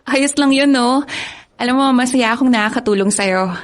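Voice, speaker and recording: A sweet, studious young college woman in a radio drama, played by a woman. She talks shyly and eagerly, with a slightly high voice and an easy giggle. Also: normal speed, natural voice. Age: young adult. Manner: shy, eager to help, giggly.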